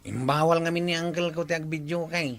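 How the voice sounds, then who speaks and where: A woman's voice: low voice, fairly even intonation, fairly quick. A woman playing an irritated village meddler in an Ilocano comedy drama, emphatic and complaining, with an Ilocano accent. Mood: matter-of-fact. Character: irritated village meddler enforcing a ban on noisy singing.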